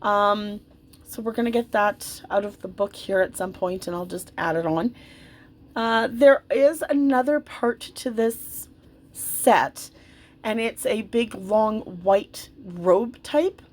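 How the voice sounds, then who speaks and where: A woman's voice: mid-range voice, slow pace. A woman giving casual, chatty hobby commentary in an informal, rambling and effusive way. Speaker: doll hobbyist vlogger. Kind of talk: casual, chatty commentary while dressing a doll.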